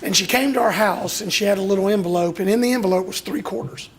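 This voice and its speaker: A hoarse middle-aged man from Alabama, giving a heartfelt, emotional speech to an audience as a father. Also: high-pitched, fast talker. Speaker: speaker at a youth graduation, father of a boy with Duchenne.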